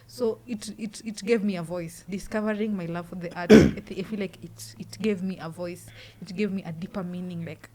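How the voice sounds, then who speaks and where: A Kenyan woman hosting a podcast, with a loud voice and a loud laugh, talking casually and rambling with many restarts. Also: fairly low pitch, steady tone, fairly quick, hardly any pauses, crowd noise. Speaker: podcast host. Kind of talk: casual interview chat.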